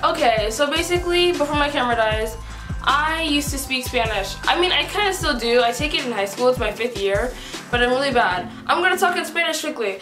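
A high-pitched teenage girl rambles through a casual get-to-know-me chat, scattering fillers as she goes. Her voice bounces around an echoey bedroom, with music playing clearly behind her. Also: animated, fairly quick. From United States, East Coast. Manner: rambling and upbeat, many "like" and "whatever" fillers. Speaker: vlogger on a shared channel. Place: small bedroom indoors. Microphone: camera mic at close range.

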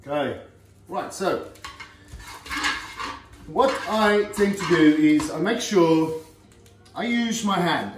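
Middle-aged man, ex-fighter, plain-spoken home cooking vlog; slightly high voice, captured from afar in a reverberant kitchen. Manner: chatty, plain-spoken, lots of fillers and "okay". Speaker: former professional heavyweight fighter giving cooking tips. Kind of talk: casual cooking demonstration. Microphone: far camera on the counter. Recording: roomy kitchen sound from a distance, faint music.

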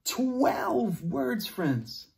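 A young man teaching young children, playful and animated, cheering them on and making sound effects while he reads a picture book aloud in a classroom. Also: extremely high pitch, extremely slow, gravelly. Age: young adult. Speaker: preschool teacher. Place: classroom with word cards on a board.

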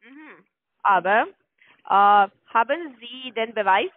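A woman's voice: on the high side, animated, takes it slowly. A non-native woman with a foreign accent, arguing dismissively and repetitively as a call centre agent over a tinny phone line. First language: not German.

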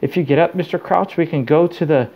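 A man's voice: on the high side, brisk, highs cut off, voice pushed up. Man as urgent, concerned teenage schoolboy. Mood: firm, concerned. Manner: cautious, urgent, firm.

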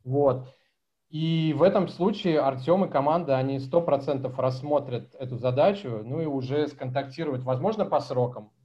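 A man's voice: mid-range voice, moderate pace. Chatty man on a dull video call. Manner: animated, gestures a lot, chatty and informal. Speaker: conference speaker answering questions. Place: small room at home. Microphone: laptop webcam mic on video call.

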